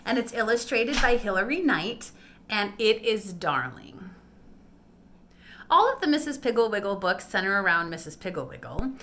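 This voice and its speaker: A woman with a bright, forward voice at an average pitch talks casually to fellow parents. She hosts a homeschool vlog and gives recommendations in an encouraging way. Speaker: homeschool video host and parent.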